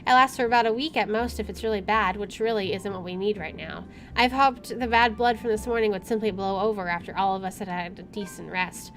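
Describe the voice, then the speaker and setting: A woman's voice: medium pitch, fairly even intonation, brisk, fairly bright tone. A woman doing a let's play, reading story text aloud expressively with informal asides. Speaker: gaming YouTuber. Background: game music.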